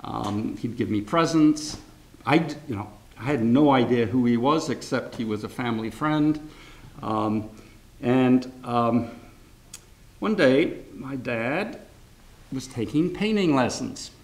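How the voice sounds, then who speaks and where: An older man speaks at a seminar with a gravelly, creaky voice at an ordinary middle pitch. He tells family anecdotes in a rambling, informal way, often stopping to correct himself and chuckling now and then. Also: lively intonation, takes it slowly, a little mellow, low-quality recording. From grew up in France and England. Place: lecture hall.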